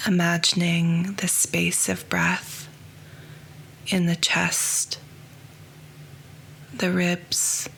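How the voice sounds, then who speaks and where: A woman guiding a yoga nidra meditation, speaking softly and slowly, often close to a whisper. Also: fairly low pitch, vocal fry, a little hiss or hum, rough recording. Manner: soft, slow and hushed, often near a whisper. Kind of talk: guided meditation.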